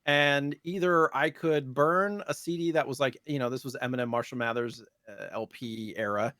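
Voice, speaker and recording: A man's voice: medium pitch, steady tone, moderate pace, fairly bright tone, dry recording, studio quality. An American man in his thirties chatting casually and in slang as a podcast host. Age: 30s. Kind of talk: casual chat about retro gaming.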